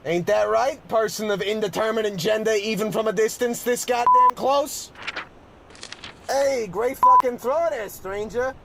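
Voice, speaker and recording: A man playing a crude, folksy old sailor in a parody of a 1940s radio play, with a gravelly voice. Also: sits high, fairly even intonation, a little slow, noisy background. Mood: friendly, crude. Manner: folksy, swearing, exclamatory delivery. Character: foul-mouthed salty old sailor, cheerfully crude.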